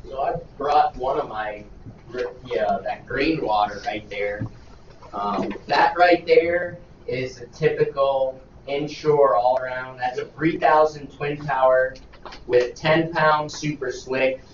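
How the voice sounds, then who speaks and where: A man's voice: medium pitch, steady tone, slow pace, a little hiss or hum. Man showing gear, distant echoey room. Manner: casual, pointing out gear. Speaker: charter captain. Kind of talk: casual gear show-and-tell. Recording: echoey room, far from mic, dull.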